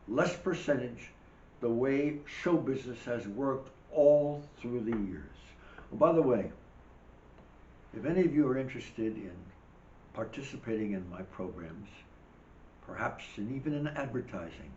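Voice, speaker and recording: A man's voice: medium pitch, animated, measured pace, dark tone, slight background noise. An older man hosting a monologue show, rambling with emphatic, wry asides while losing his voice. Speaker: show host. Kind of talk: monologue commentary. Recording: dull echoey room recording.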